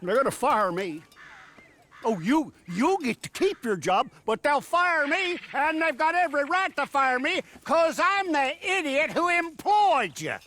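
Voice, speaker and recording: A man's voice: very high voice, lively intonation, measured pace, bright, forceful tone, slight background noise, full character voice. A man playing an exasperated, insulting Old West boss in a game, scornful and agitated. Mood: exasperated, frantic. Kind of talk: dramatic game cutscene acting.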